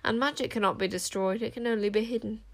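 A woman voicing a calm, dignified male enchanter king, measured and ironic, in an audiobook story. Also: fairly high pitch. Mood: calm, smiling.

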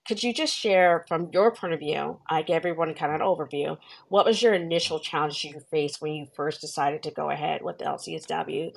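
A woman with a very low, gravelly voice hosts the interview. She speaks in an encouraging, conversational way as she sets up her questions. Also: low-quality recording. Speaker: podcast host and exam mentor. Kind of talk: interview hosting.

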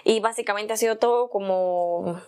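A young woman talks easily as an interview guest. She tells her story in an unhurried, chatty way, with plenty of small fillers. Her voice sits at a middle pitch. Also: darkish tone, smooth. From Venezuela. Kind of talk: casual conversational interview.

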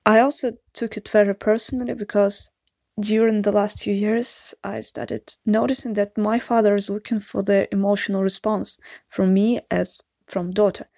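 A woman's voice: medium pitch, steady tone, normal speed, vocal fry, mellow, no echo, studio quality. A woman from Russia with a Russian accent, a native Russian speaker, leaving a careful, earnest voicemail in English. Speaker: voicemail caller. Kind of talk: recorded voicemail message.

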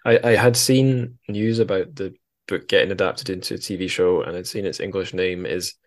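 A man's voice: low voice, steady tone, normal speed. A man hosting a casual interview, thinking aloud with tentative phrasing and dry humour. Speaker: podcast host.

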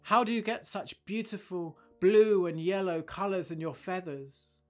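A man's voice: sits high, a little slow, faint music behind, pitched above the performer's own voice. A man voicing a small, dissatisfied male garden spider who pleads and repeats his questions. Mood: plaintive.

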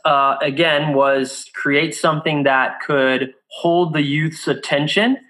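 A middle-aged man from Brazil, a guest on a casual podcast, speaking in an earnest, deliberate way about his music blog. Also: fairly high pitch, steady tone, a bit bright, low-quality recording. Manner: earnest, deliberate, acts out quotes in a raised voice.

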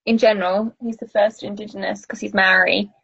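Female co-host, husky, earnest explainer, dull dry lo-fi sound. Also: mid-range voice, level tone, brisk, bright, forceful tone. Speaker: podcast co-host. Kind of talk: casual chat about films.

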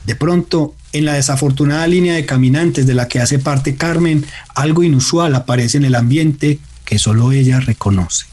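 Un hombre narra una radionovela con guion, de manera medida, literaria y dramática. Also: male voice, mid-range voice, a little mellow, husky. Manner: measured, literary, dramatic. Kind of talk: scripted radio drama narration.

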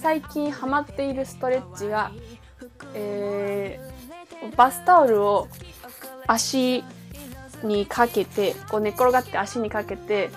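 Higher-voiced woman chatting as podcast host. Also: slow pace, pauses often, over music. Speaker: singer. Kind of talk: casual book chat.

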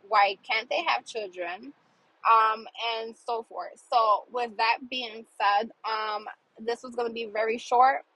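Bright, earnest woman in her thirties. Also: on the high side, low-quality recording. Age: 30s. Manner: earnest, emphatic, repeats phrases for emphasis. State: congested. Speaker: podcast host. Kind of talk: casual monologue podcast.

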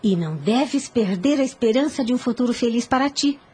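Husky, earnest young widowed mother. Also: fairly high pitch, expressive, brisk, warm tone. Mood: gentle, firm. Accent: Brazilian. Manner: formal, earnest, literary diction. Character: devout, dignified young widowed mother, long-suffering but firm. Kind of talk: radio soap opera acting.

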